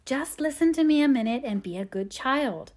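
Woman playing a gentle, soothing eldest sister, higher-pitched. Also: normal speed, close to an everyday voice. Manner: soothing, reasoning. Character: gentle, patient, motherly teenage eldest sister.

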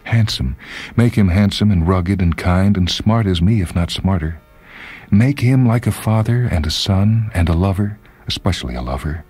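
Man voicing a yearning, halting spinster, pitched up. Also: lively intonation, darkish tone, breathy edge, faint music behind. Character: lonely middle-aged spinster, yearning and flustered.

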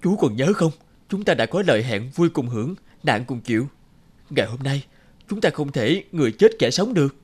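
Lazy scheming elder brother, cowardly, animated intonation, cartoon folk tale. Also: male voice, medium pitch, normal speed, slightly rough. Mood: earnest persuasion. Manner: feigned weariness, stammers when frightened.

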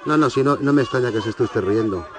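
A man's voice: medium pitch, quick pace, a faint crowd, close to an everyday voice. A man with a Castilian Spanish accent playing a flustered, exasperated schoolteacher on a prank phone call, rambling and stammering. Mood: harried. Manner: rambling, stammering, breaks off to scold. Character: flustered schoolteacher yelling at unruly children, male, exasperated. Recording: noisy telephone prank recording.

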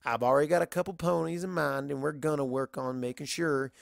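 Blunt country-style pony mare, put on by a narrator, folksy, pushy, affectionate, gravelly creak, dry close recording. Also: medium pitch, fairly even intonation, brisk, dry recording. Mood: insistent.

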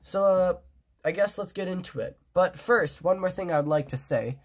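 A man's voice: high voice, normal speed. A man with a stuffed, nasal nose casually talks through his drawings at a table, rambling with many restarts and fillers. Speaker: fan artist presenting his drawings. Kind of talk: casual show-and-tell commentary.

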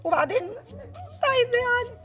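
A woman's voice: very high-pitched, sing-song, fast talker, a bit bright, exaggerated voice. A woman playing an exasperated, scheming Egyptian mother and wife in a TV comedy-drama, with a dramatic, complaining manner. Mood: indignant. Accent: Egyptian Arabic. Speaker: actress. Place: furnished home interior, film set.

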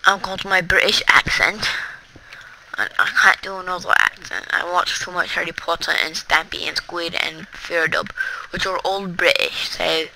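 A gamer giving rambling, chatty commentary over their own play, talking straight to viewers with sudden excitable outbursts. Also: medium pitch, normal speed, creaky, slight background noise, low-quality recording. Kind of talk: casual gameplay commentary.

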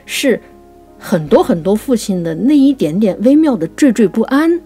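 A woman co-hosting a conversational talk on classical poetry, explaining things and reciting verse slowly. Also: fairly high pitch, sing-song, dark tone, slightly rough, faint music behind. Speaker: writer and co-host.

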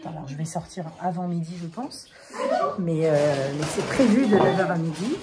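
Hoarse woman vlogging in her kitchen. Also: fairly low pitch, expressive, moderate pace. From France. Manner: chatty, many fillers and restarts. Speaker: family vlogger. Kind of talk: casual chat to camera. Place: home kitchen, indoors. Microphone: phone camera at arm's length. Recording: echoey room with family noise.

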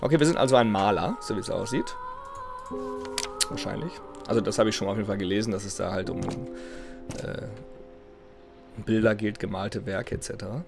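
A man's voice: lowish voice, normal speed, creaky, pauses often. A nasal man with a cold, over game music. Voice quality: slightly nasal. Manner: chatty, reads in-game letters aloud. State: has a cold. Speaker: let's play host. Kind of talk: casual gameplay commentary. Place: home gaming room, indoors.

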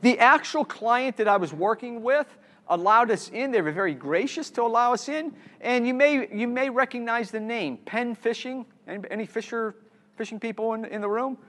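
A man's voice: very high voice, normal speed, fairly bright tone. A middle-aged man giving a conference keynote, often stumbling and repeating words as he restarts. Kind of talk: conference talk with slides. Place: conference room.

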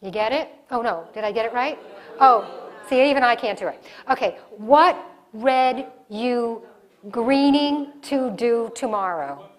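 A woman's voice: fairly high pitch, unhurried. Middle-aged lady running a workshop, talks with her hands, lots of restarts, caught by a camera across a meeting room. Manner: animated, gestures a lot, restarts sentences. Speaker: diversity workshop presenter. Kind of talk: live workshop talk. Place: conference room in front of a projection screen.

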